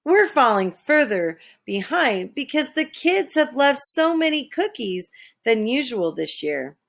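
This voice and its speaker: Animated, unhurried woman reading a storybook. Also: high voice, vocal fry. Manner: animated, dramatic, with hushed asides. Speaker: storybook reader. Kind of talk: expressive read-aloud of a children's story.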